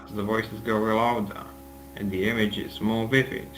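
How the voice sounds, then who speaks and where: Male narrator, low register, reading story script over prominent music. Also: little pitch movement, measured pace, a bit bright, low-quality recording. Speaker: narrator in a table read. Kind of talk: scripted narration, read aloud.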